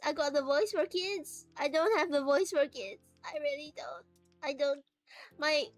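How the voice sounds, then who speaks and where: A woman streamer chatting casually, laughing often and acting out little quoted voices. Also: sits high.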